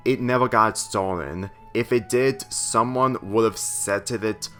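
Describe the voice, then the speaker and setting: Boisterous storybook brother, read by a man, blunt and teasing, measured pace, faint music underneath. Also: fairly low pitch, a bit bright. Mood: matter-of-fact. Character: teasing, boisterous young brother of a teenage girl. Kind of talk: storybook character dialogue.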